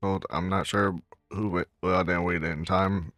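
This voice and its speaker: A man's voice: sits low, little pitch movement, unhurried, fairly bright tone, no room sound, clean studio sound. A man playing through a video game, reading its dialogue and letters aloud. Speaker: gamer recording a playthrough.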